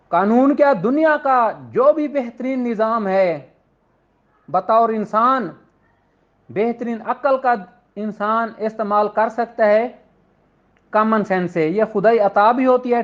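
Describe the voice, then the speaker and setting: A Pakistani man lecturing law students in an earnest, exhorting way, often posing rhetorical questions as he explains. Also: very high voice. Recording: dull lo-fi audio.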